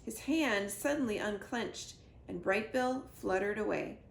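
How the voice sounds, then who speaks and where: A woman reading a children's storybook aloud with expressive narration, recorded in a roomy living room. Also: medium pitch, measured pace, creaky. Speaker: reader, school librarian or teacher. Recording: roomy echo, faint background noise.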